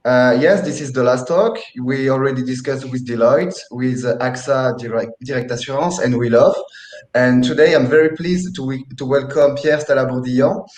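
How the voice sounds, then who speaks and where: A man hosts an online talk, welcoming his guest and steering the questions in a polite, slightly halting way. His voice sits at an average pitch and carries a noticeable room echo, heard through a video call. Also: a little mellow. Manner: polite, halting, some fillers. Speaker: host and moderator. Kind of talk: hosting a webinar talk. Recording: echoey room.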